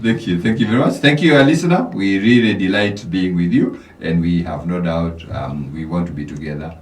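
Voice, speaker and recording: A man's voice: low-pitched, a little fast, roomy sound, distant mic. A Kenyan man, a financial coach, giving motivational advice as a radio guest, often repeating words as he starts a thought. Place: radio studio.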